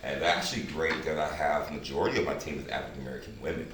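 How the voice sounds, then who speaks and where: A man's voice: rumbling low, very fast, crowd noise. A middle-aged man speaking casually to his team as its leader. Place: indoor room at a group table read. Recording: very echoey room, far mic, noisy.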